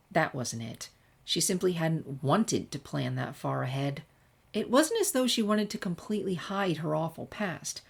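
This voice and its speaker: A woman narrates a story with expression. Her voice sits low for a woman, and she reads from a script as a storyteller would. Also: vocal fry, slightly rough. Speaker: fan fiction reader.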